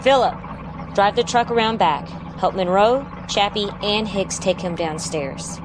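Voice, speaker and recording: A woman playing a self-assured rebel fighter and go-between in an audio drama, brisk and assured. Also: mid-range voice, close to an everyday voice. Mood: brisk, confident. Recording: clear hum and vehicle-like noise.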